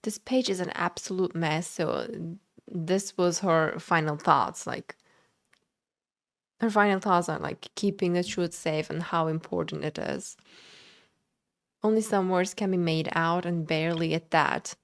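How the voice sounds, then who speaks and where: A woman's voice: fairly low pitch, measured pace. A woman livestreaming a video game, commenting and reading the in-game text aloud. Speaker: game streamer.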